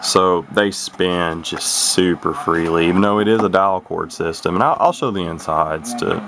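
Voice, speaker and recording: A man with a low-pitched voice talks casually through a hands-on demonstration. He rambles in a matter-of-fact, unscripted way, like a hobbyist presenting gear at home, with some background noise on the recording. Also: moderate pace. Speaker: radio hobbyist presenting a receiver.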